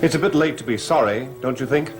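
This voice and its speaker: An actor playing a grieving, stern master of a gothic mansion with formal, clipped, cold delivery in a melodramatic soap opera. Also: male voice, mid-range voice, quick pace, close to an everyday voice. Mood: bitter. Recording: old TV soundtrack with loud orchestral music.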